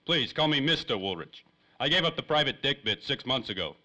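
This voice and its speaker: A man's voice: medium pitch, expressive, a little fast, sharp tone. A man playing a hardboiled, wisecracking private detective in a 1930s-style radio drama, with clipped tough-guy talk. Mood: wry, tough.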